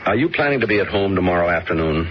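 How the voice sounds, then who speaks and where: A gentle, patient father. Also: deep voice, animated, quick pace, lo-fi sound, slight background noise. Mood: reassuring. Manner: reassuring, patient, pleading. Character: middle-aged husband and father, gentle. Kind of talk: 1970s radio drama acting.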